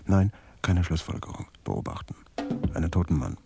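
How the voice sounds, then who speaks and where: An actor playing a brooding police detective who mutters terse observations in a radio crime drama. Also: male voice, low-pitched, sing-song, normal speed, creaky, over loud music, full character voice. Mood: brooding, pondering.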